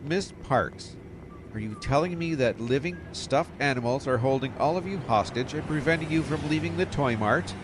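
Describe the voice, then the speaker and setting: A man's voice: mid-range voice, animated, normal speed, natural voice. A man playing a sceptical, official older police captain in an audio drama, with clipped questions. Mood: businesslike. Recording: clearly noisy outdoor street ambience behind.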